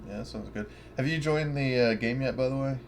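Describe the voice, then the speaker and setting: A man chatting casually as a player in an online tabletop game, speaking in halting fragments. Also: medium pitch, background noise.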